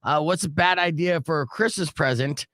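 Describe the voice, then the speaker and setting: A man with a deep voice hosting a live radio show, joking and poking fun at himself. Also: normal speed, no room sound, studio quality. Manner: joking, self-mocking, lively. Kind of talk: casual radio banter. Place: radio studio. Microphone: studio microphone.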